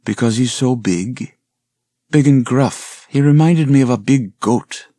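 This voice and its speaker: A wary, uneasy wife in an audiobook thriller, acted by a gravelly man. The voice sits well above the reader's natural pitch, questioning and unsettled, with a dry close sound. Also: a little slow, mellow, no echo, natural voice.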